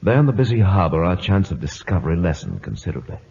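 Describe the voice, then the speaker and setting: An actor playing a loyal, earnest British naval lieutenant, formal in speech, in old-time radio drama style. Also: male voice, low voice, wildly swooping pitch, fast talker, gravelly, a little mellow, husky, full character voice. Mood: earnest narration. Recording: muffled old radio broadcast.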